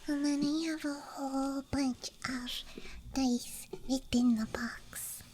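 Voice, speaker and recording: A woman giving a hesitant, musing, self-deprecating ASMR ramble in a soft, breathy whisper. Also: high voice, steady tone, slow, slight background noise. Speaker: ASMR creator. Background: soft night ambience.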